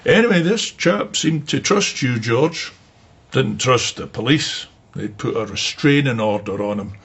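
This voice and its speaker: Radio drama: plain-spoken Scottish colleague, raspy mid-range voice, brisk dismissiveness. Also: male voice, medium pitch, lively intonation, fairly bright tone, exaggerated voice. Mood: matter-of-fact. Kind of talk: naturalistic radio drama acting. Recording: dull, muffled sound.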